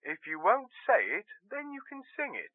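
A man's voice: extremely high pitch, huge pitch swings, moderate pace, dry recording, phone-quality sound, full character voice. An actor playing a brash, affectionate theatre man in a radio drama, bantering and teasing his sweetheart. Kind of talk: dramatic radio play acting.

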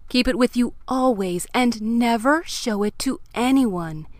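A woman's voice: on the high side, measured pace, darkish tone, natural voice. A woman playing a tender, solemn dying mother in a fairy tale audio drama, with a weak, whispery delivery. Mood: tender, fading.

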